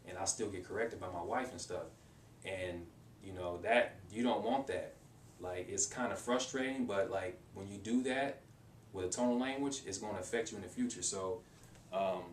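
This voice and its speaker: A young man giving casual, rambling advice in a language-learning vlog, with many restarts and fillers. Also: distant mic. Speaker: language-learning video maker. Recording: roomy echo, off-mic, faint rumble.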